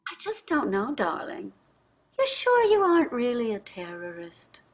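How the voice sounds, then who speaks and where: An actress playing a privileged, jaded middle-aged wife in a staged play reading, speaking in a theatrical, effusive way. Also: female voice, on the high side, huge pitch swings, unhurried, exaggerated voice. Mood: skeptical. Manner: theatrical, effusive, drawling endearments. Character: wealthy, jaded, privileged wife on a dystopian luxury ship.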